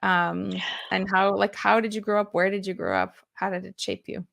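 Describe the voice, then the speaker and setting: Woman host, gravelly voice, mid pitch, enthusiastic, chatty. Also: flat delivery, normal speed, fairly bright tone. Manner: enthusiastic, chatty, many likes and ums. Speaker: interview host. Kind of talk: conversational interview.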